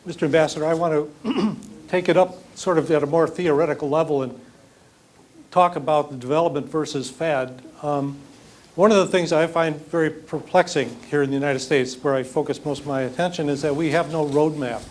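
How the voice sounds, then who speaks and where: A man moderates a public forum and puts a question to the ambassador. His voice sits a little higher than most men's. He speaks in a measured, questioning way. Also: steady tone.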